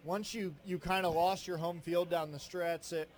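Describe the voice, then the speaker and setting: A man co-hosting a sports radio show from a bar, talking in a loud, preacher-like voice with joking banter. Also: high-pitched, steady tone, normal speed. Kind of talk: casual sports talk. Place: sports bar remote broadcast. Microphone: headphones and studio mic.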